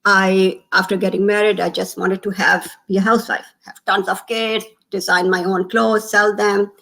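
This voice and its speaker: Pakistani lady in her 50s, gravelly voice, earnest, lots of ums, mid pitch. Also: little pitch movement, fairly bright tone, rough recording. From Pakistan (Lahore, Punjab). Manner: earnest, many "um" pauses, emphatic lists. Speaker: guest, town comptroller. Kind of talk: candid interview talk.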